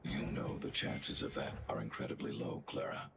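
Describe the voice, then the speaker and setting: A deep gravelly robot guardian. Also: male voice, moderate pace, noisy background, exaggerated voice. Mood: calm, clinical. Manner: flat, precise, mechanical delivery. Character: huge logical male robot guardian. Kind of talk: video game voice acting. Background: game music.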